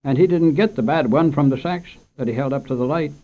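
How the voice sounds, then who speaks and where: A man doing a small farm boy in a storybook who has been caught out and keeps begging his way around it, his voice pushed high and thin. It sounds like an old radio reading with a muffled, lo-fi sound. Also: fairly quick, close to an everyday voice. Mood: defensive. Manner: begging, tearful, hesitant. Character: young farm boy, guilty and pleading. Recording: dull lo-fi old radio recording.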